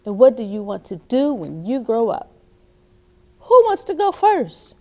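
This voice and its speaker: Woman as a high, lively schoolteacher. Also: measured pace. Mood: upbeat. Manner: bright, announcing, questioning. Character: brisk schoolteacher addressing her young class.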